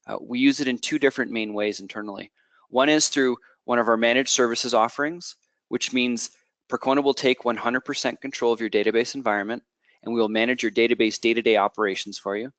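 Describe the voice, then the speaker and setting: A Canadian man in his thirties gives a product presentation as a product manager. He explains at length, often restarting sentences and pausing to ask "okay". His mid-pitched voice sits close to the mic in a dry, dead space. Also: a bit bright, no room sound. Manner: explanatory, frequent fillers and restarts, checks in with okay.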